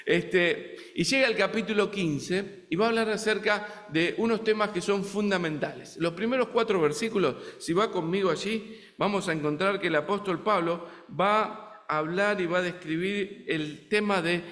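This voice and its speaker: A man's voice: sits high, moderate pace, fairly bright tone, long reverb tail. A man with an Argentine accent, preaching a sermon as a guest preacher in a conversational way, often turning to the congregation with rhetorical questions. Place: church sanctuary in the United States.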